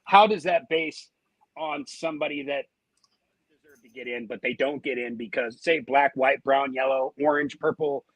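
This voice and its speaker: An American man chatting casually as a panelist, rambling and folksy, with a rough-edged voice. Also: mid-range voice, moderate pace, dry recording, poor audio. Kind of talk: casual chat.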